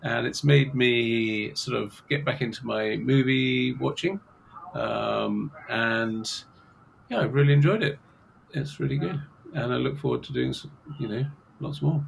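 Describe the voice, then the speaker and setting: A man reflects casually on favorite films as a podcast co-host. His mid-range voice is heavily gravelly, and there is faint noise behind him. He speaks at an easy pace with small hesitations. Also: medium pitch, unhurried, rough recording. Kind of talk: casual film podcast chat.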